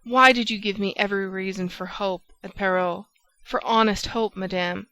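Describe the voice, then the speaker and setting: Brief: woman playing a jealous young man in a storybook, angry and accusing, mid-pitched. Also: steady tone, moderate pace, bright, forceful tone, rough recording. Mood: bitter reproach.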